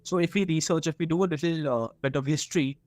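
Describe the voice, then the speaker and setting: A man with an Indian accent telling a story conversationally, in a halting way with frequent asides. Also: on the high side, a little mellow, dry recording.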